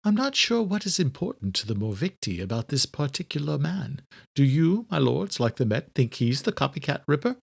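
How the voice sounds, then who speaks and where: A man's voice: fairly high pitch, very animated, normal speed, vocal fry, slightly rough, voice pushed up, exaggerated voice. A man voicing a submissive, scheming male courtier in an audiobook, careful and deferential. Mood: probing.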